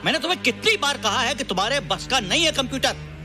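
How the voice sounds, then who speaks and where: A man's voice: very high voice, expressive, fast, over music. An actor playing a harsh, contemptuous male computer teacher in Hindi film dialogue. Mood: scornful.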